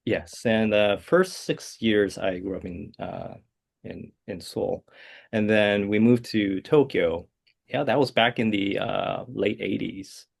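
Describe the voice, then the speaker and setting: Male composer, 40s, fry-edged low voice, hesitant and thoughtful. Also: slow. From South Korea. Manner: soft-spoken, hesitant, many uh and um fillers. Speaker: guest composer. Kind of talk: interview answers.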